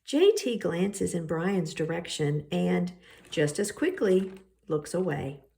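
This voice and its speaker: A woman with a slightly low voice reads a children's story aloud. She takes her time and phrases each line expressively, with her pitch moving up and down a great deal. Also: expressive, slow pace, creaky, warm tone. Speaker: storybook reader.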